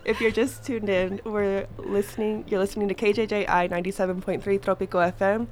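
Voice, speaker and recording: A woman's voice: mid-range voice, flat delivery, fairly quick, a little mellow. A woman hosting a casual radio interview in a studio, chatty, laughing often and restarting her questions. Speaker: radio host. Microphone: studio mic.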